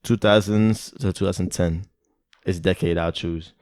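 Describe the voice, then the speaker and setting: A man's voice: low-pitched, fairly even intonation, normal speed, a little mellow, no echo, clean studio sound. A young man co-hosting a podcast in casual chat, laid-back and hesitant, with many fillers. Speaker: podcast co-host.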